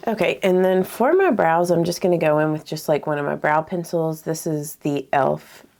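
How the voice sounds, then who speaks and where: A woman's voice: low voice, moderate pace, creaky, a little mellow. A woman vlogger chatting casually through her makeup routine, sounding slightly congested and nasal, with lots of filler words. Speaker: beauty vlogger. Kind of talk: casual get-ready-with-me talk.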